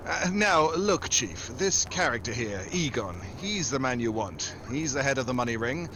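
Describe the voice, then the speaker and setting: Radio hero, played by a man: cool American secret agent, mid-pitched, dry wisecracks, noticeable background noise. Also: very animated, moderate pace, lightly acted. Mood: calm, persuasive. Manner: wry, nonchalant. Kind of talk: 1940s radio drama acting.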